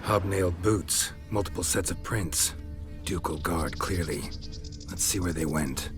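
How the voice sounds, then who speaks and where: A man with an American accent playing a stoic, wry monster hunter in a video game, gravelly, terse and deadpan. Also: unhurried, over music. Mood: dry, matter-of-fact. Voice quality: gravelly, low.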